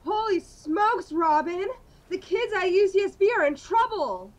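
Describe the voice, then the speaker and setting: A frantic male superhero in a comedy improv bit, squealing in alarm, as a woman puts him on. His voice sits very high. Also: a little slow, highs cut off.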